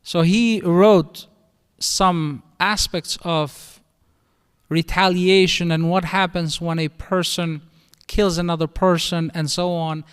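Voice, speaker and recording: A man's voice: sits high, steady tone, slow pace, clean tone. A middle-aged man from northern Albania with an Albanian accent, giving a conversational religious lecture as an imam. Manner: explanatory, conversational teaching with restarts. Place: mosque hall. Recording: reverberant mosque hall.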